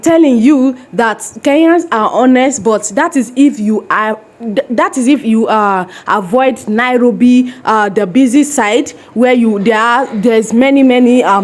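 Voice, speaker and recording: A young woman with a Nigerian accent, telling stories to her vlog viewers in a lively way. Her voice sits a little high, and her phrasing is plainly Nigerian English. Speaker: vlogger. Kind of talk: casual reaction vlog.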